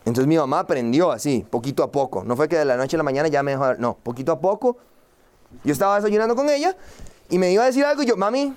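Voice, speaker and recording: A man's voice: a little high, fast talker, darkish tone. A young man from Costa Rica with a Costa Rican accent, giving a motivational seminar talk to a live audience, asking rhetorical questions and acting out quoted voices. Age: young adult. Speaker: guest speaker, entrepreneur.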